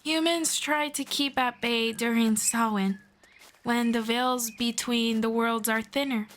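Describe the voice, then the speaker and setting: Woman doing a friendly elf lady for a fantasy roleplay, kinda high voice, talks really slow, formal and gently explains stuff. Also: steady tone, slight background noise. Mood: polite, curious. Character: friendly, knowledgeable female elf guiding a human. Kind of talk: scripted roleplay audio, one-sided conversation with listener.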